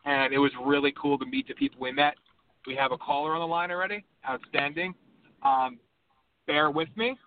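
A man's voice: on the high side, expressive, fairly quick, vocal fry. A man hosting a call-in radio show, talking in an impassioned, rambling, emphatic way. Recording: tinny phone-line audio with faint background hum.

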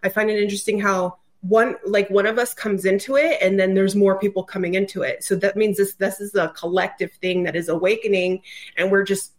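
Medium-pitched woman, informal podcast guest. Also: fairly even intonation, fairly quick. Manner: informal, loose, peppered with "like" and "you know". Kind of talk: casual conversational explaining.

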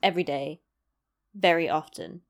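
A woman's voice: low voice, normal speed. Chatty young London woman. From East London, England. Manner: chatty, self-deprecating, rambling with asides. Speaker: vlogger. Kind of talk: casual chat to camera.

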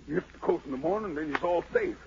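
An actor playing a frightened, boastful fugitive emperor with a gravelly American voice in 1940s radio drama, theatrically muttering to himself and crying out. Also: male voice, fairly high pitch, wildly swooping pitch, fast, full character voice. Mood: anxious, weary. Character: fleeing self-made emperor, frightened, boastful, haunted, superstitious fugitive. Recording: noisy, muffled 1940s radio broadcast.

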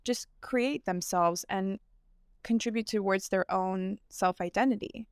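A young woman talks through her answers in an earnest, easygoing way, pausing with fillers and restarting her sentences. Her voice is smooth and resonant at a middle pitch. The sound is dry and close, with no room around it. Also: no echo. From United States. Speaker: interview guest, fashion founder. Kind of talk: casual interview answers.

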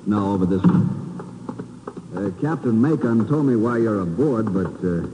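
An actor playing a brisk, skeptical ship's first mate in a businesslike 1950s radio drama style. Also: male voice, fairly low pitch, expressive, warm tone, dull audio. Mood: businesslike, puzzled. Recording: reverberant, noisy old radio recording.

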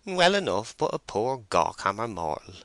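A man voicing a shrill, domineering middle-aged farm wife, in an audiobook story. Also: sing-song, normal speed, no room sound. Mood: scornful, bossy. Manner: loud, emphatic rustic speech.

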